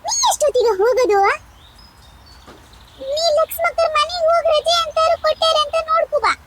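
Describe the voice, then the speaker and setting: Someone voicing a very high-pitched nagging village mother. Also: expressive, a little fast, fairly bright tone, slight background noise. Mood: exasperated. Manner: nagging, grumbling, coaxing. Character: exasperated village mother scolding and coaxing her son. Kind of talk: cartoon dialogue in colloquial village speech.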